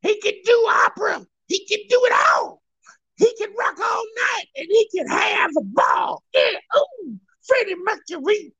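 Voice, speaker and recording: A man putting on the voice of a brash, boastful hard-rock singer, with high shrieking wails and shouts. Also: animated, measured pace, no echo, exaggerated voice.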